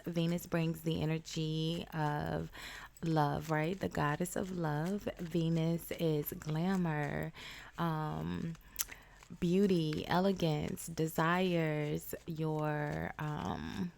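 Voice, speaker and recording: A woman giving a casual tarot reading, stuffed up with a cold, talking in a chatty, halting way with many ums. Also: sits low, steady tone, gravelly, a little hiss or hum. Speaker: tarot reader.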